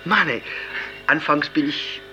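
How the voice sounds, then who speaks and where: A man's voice: fairly high pitch, a little slow, pushed and bright. An actor playing an overwhelmed young man in a radio drama, stammering and repeating words in wonder on a lo-fi recording. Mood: dazed, amazed. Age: young adult. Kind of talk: naturalistic radio drama dialogue. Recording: dull lo-fi tape, music underneath.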